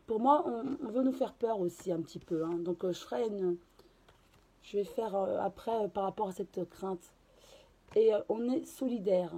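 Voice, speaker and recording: Woman card reader, hoarse with a cold, dark husky tone, halting delivery. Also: medium pitch. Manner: hesitant, many fillers and restarts. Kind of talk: casual tarot reading to camera.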